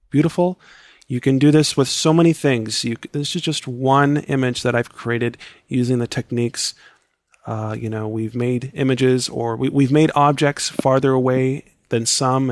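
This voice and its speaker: A man with a scratchy, worn voice, talking viewers through a screen-recorded software tutorial step by step in a relaxed, chatty way. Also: medium pitch, no room sound. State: scratchy, losing his voice.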